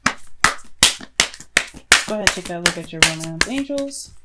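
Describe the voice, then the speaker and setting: A woman's voice: fairly low pitch, animated, moderate pace, very noisy. A woman doing a casual tarot reading, speaking straight to the listener in an earnest, encouraging way with emphatic repetition. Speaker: tarot reader. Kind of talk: casual spoken tarot reading addressed to the viewer.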